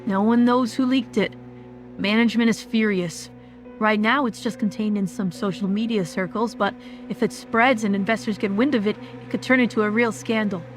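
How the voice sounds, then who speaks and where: A woman's voice: medium pitch, fairly even intonation, normal speed, lightly acted. A woman acting as a terse company manager delivering urgent news in a scripted drama. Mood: urgent, grave. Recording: music under the voice. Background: music.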